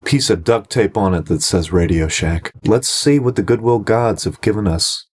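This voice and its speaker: A man's voice: lowish voice, normal speed, a little mellow, husky. A man narrating a casual home-recording vlog as host, in a wry, self-deprecating way.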